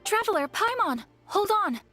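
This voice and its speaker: A woman's voice: very high voice, big pitch swings, pushed and bright, faint music behind, full character voice. An actress playing a polite, earnest young noblewoman in a video game, speaking formally and courteously. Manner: formal, courteous, measured wording.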